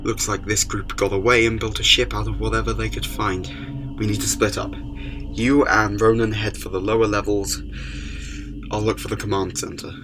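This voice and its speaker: A man acting a brisk, wry, weary time traveler with halting asides in a fan audio drama. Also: fairly low pitch, level tone, music in the background, close to an everyday voice. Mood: grim, urgent.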